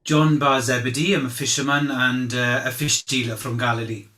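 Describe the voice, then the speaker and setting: A man playing a candid, humble fisherman giving halting, conversational eyewitness testimony in a courtroom drama. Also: medium pitch, little pitch movement, sharp tone, natural voice. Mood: plain, hesitant. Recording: roomy, echoing sound.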